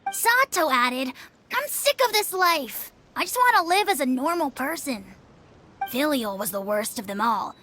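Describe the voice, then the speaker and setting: An actress voicing an obedient young child in a video game, reporting others' misdeeds with precise, accusing calm. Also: female voice, sits high, unhurried, a little hiss or hum, full character voice. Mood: cool, accusing.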